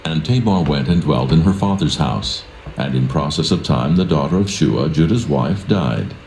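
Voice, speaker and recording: Casting: male Bible narrator, bottomless bass, mellow and dark, formal reverent reading, soft music underneath. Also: expressive, moderate pace. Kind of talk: solemn scripted scripture narration. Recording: roomy echo with music behind.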